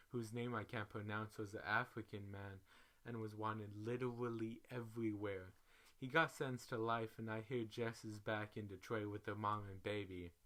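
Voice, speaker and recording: A man reading a social media story aloud in an animated, slangy, profane way, as a dramatic storyteller. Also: fairly low pitch. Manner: animated, profane, slangy, acts out each quote. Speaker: reader, storyteller.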